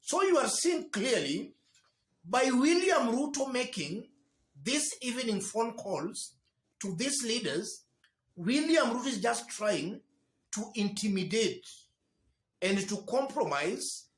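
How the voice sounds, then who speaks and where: A man with a Kenyan accent delivering political news commentary as a host, with emphatic, deliberate phrasing in short bursts. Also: extremely high pitch, big pitch swings. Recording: echoey room.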